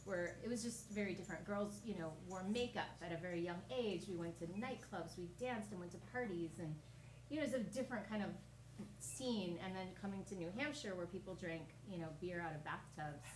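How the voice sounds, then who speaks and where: A woman's voice: fairly low pitch, brisk. A woman from Colombia, an audience volunteer, giving easygoing short answers and chuckling during an onstage interview. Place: live comedy stage. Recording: roomy, distant, noisy live venue.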